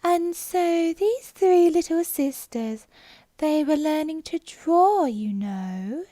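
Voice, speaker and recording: A woman putting on a drowsy little dormouse who drags out every word and pipes up high and squeaky. He is sulky and touchy, with a close, dry storybook sound. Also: animated, slow, dark tone, dry recording. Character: sleepy sulky small male dormouse. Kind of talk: storybook character voice.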